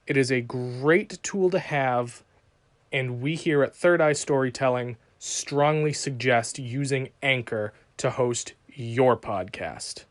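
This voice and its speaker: Slow, dry-recorded man hosting a podcast. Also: mid-range voice, no echo. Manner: promotional, emphatic. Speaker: podcast host. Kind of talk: scripted sponsor read and show introduction.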